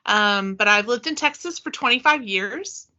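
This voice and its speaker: Forties woman from the east coast, really bright voice, casual podcast intro, muffled audio. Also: fairly high pitch, expressive, moderate pace. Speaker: student podcast participant.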